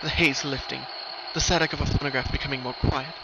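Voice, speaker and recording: A man's voice: mid-range voice, fairly even intonation, quick pace. A man narrating a scripted story in an earnest, theatrical way. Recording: dull lo-fi recording, background noise. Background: noise.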